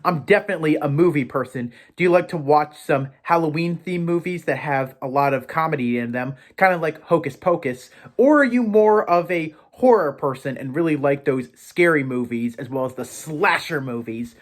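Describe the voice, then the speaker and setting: Male show host, higher-pitched voice, theatrical and chatty, playing a professor who addresses viewers directly. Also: moderate pace, hardly any pauses. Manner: theatrical, chatty, poses questions to the audience. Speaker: host of a Pokemon education show. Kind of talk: presenter monologue to viewers, playfully in character as a professor.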